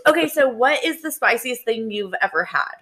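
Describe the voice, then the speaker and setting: A woman's voice: on the high side, lively intonation, moderate pace, a bit bright. A woman co-hosting a podcast in casual group chat, joking and swearing with frequent exclamations. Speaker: podcast co-host.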